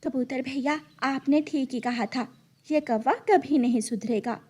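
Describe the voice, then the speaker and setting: An earnest, hardworking little hen bird from a children's cartoon, played by a woman. The voice is fairly high and husky, with an admonishing tone. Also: unhurried, darkish tone. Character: diligent, scolding little female bird. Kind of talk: Hindi children's cartoon voice acting.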